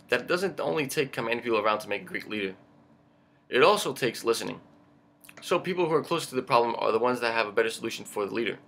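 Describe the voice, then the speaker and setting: A young man, a Greek speaker, giving a prepared student self-introduction in an earnest, measured way with small stumbles. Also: mid-range voice, creaky, pushed and bright. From Pennsylvania, United States. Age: young adult, about 19. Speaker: college student.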